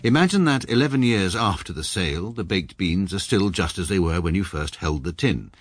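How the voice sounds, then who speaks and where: A man's voice: low voice, lively intonation. An English actor playing a precise, dry, middle-aged male barrister who narrates his own story in a radio drama. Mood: measured. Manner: measured, dry and literal.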